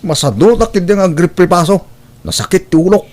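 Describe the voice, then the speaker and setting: Lazy young filipino student, sullen and complaining, lightish pitched voice, radio drama. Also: male voice, expressive, quick pace, darkish tone.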